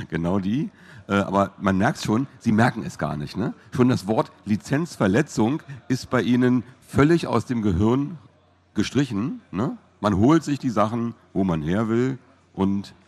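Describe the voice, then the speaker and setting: A man's voice: on the low side, expressive, a little slow. A man chatting informally as an interviewer, in a loose conversational manner. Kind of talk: casual interview chat.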